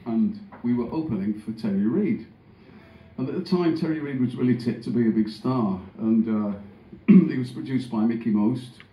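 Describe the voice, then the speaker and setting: A man's voice: mid-range voice, moderate pace, mellow. An older man, a singer and guitarist, telling a story to the audience between songs on a small club stage. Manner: chatty, storytelling to the crowd. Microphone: stand mic, filmed from the audience. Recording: roomy club sound with band.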